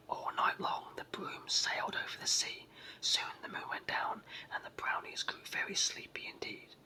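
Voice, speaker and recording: A person with a sore throat and a cold, whispering a children's story in a raspy, froggy voice as ASMR narration. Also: rough recording. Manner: hushed whispering throughout.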